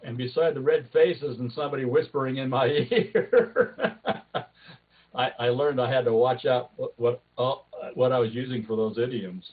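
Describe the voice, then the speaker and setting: A man's voice: mid-range voice, normal speed, husky. A man hosting a club meeting over a video call, who jokes and rambles as he talks. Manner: joking, rambling. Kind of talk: casual video call chat. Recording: tinny call audio.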